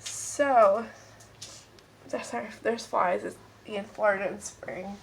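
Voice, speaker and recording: A woman's voice: medium pitch, steady tone, takes it slowly, vocal fry, slight background noise, poor audio. A woman with a stuffed-up nose giving a casual, rambling pick-a-card tarot reading.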